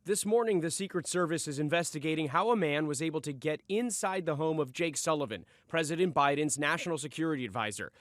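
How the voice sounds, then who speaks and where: American newsman with a higher voice, closely recorded. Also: fast talker, few pauses, a bit bright, no room sound. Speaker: news correspondent. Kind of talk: scripted TV news report narration.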